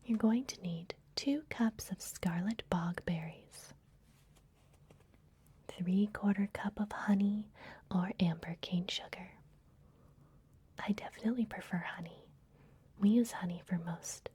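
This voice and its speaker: A woman playing a gentle, secretive vampire librarian in an ASMR fantasy roleplay, speaking in a soft, breathy, confiding whisper. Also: lowish voice. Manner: gentle, hushed, confiding, unhurried.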